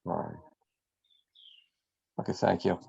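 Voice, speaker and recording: A man's voice: deep voice, steady tone, takes it slowly, vocal fry, mellow, husky. A man casually asking questions as a club member at an online meeting, over a video call. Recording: dull lo-fi video call audio.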